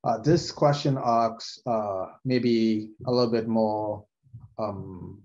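A man's voice: lowish voice, fairly even intonation, darkish tone. Man on a muffled video call. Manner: lots of "uh" fillers, measured. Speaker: panel moderator. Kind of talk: moderating an online panel. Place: video call, suit, virtual background.

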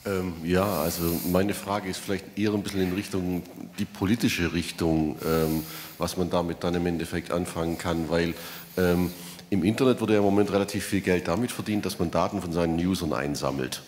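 A man asking a spontaneous question from the audience, phrasing it carefully and haltingly. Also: low-pitched, fairly even intonation, a little mellow. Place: large hall. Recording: echoey hall with background noise.

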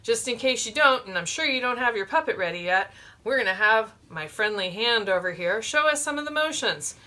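Woman doing storytime crafts for little kids, really bright highish voice, explains each step simply, camera mic in a small room. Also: lively intonation, fairly quick. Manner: simple step-by-step instruction for young children. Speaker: librarian, storytime host. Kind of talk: children's craft demonstration.

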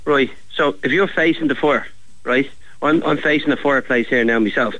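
A man's voice: mid-range voice, moderate pace, fairly bright tone, no room sound. An Irish man chatting casually on a phone call, helpful and easily amused as he gives advice. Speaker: chimney sweep taking a phone call.

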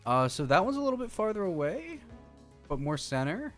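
A man's voice: high-pitched, very animated, a little fast. A man in his thirties giving casual, playful, slangy video game commentary alongside a co-host. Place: indoor streaming setup. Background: game music.

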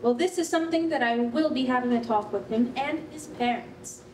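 A woman's voice: a little high, normal speed, natural voice. An actress playing a reassuring, upbeat female counsellor in a staged reading of a children's storybook, playful and emphatic. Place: concrete rehearsal hall, standing at music stand. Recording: distant roomy stage recording, faint noise.

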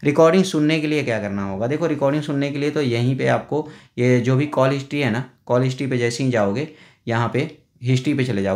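A man's voice: mid-range voice, a little mellow. A man hosting a casual tech tutorial, talking through phone settings step by step with a chatty, explaining manner. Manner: chatty, explains step by step with frequent "na" and "thik hai". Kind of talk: casual phone how-to voice-over. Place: indoors at a table, filming a phone on a stand.

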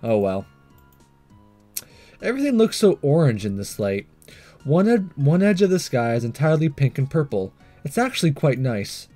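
A man's voice: mid-range voice, sing-song, moderate pace, dark tone, faint music behind, natural voice. A man reading a visual novel aloud as a self-conscious, earnest teenage schoolboy voicing his fretful inner thoughts. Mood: melancholy musing.

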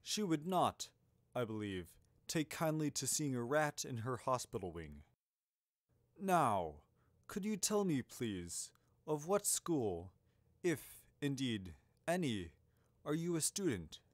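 A man's voice: medium pitch, big pitch swings, no room sound, clean studio sound. A man voicing a grandfatherly old wizard headmaster in an audiobook story, courteous, wordy and a little puzzled. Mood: politely inquiring. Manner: genteel, wordy, halting over asides.

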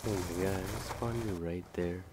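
A man's voice: low-pitched, fairly even intonation, moderate pace. A man giving casual, laid-back gameplay commentary as he chats through an online shooter match. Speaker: gamer, video creator. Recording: noisy game chat with background game audio.

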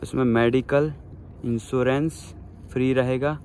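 A man's voice: medium pitch, steady tone, a little slow, darkish tone, slight background noise. A man in his thirties filming a casual selfie vlog outdoors on his phone, earnestly encouraging viewers he calls friends. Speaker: vlogger giving job advice. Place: outdoors by a waterfront town, overcast. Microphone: phone at arm's length.

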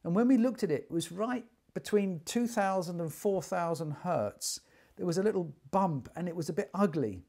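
A man's voice: high voice, animated, normal speed, vocal fry, mellow. Older man explaining things informally, emphatically. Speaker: loudspeaker designer explaining his craft. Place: small furnished sitting room indoors.

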